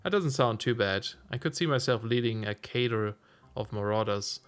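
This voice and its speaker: A slightly hoarse man chatting casually while streaming a game, musing aloud with self-amused asides. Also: lowish voice, normal speed. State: slightly hoarse, losing his voice. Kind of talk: casual let's-play commentary.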